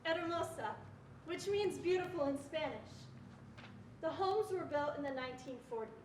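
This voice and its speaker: A woman's voice: high voice, normal speed, close to an everyday voice. A young woman, a student narrator reading a scripted story for readers theatre. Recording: echoey, distant mic, faint noise.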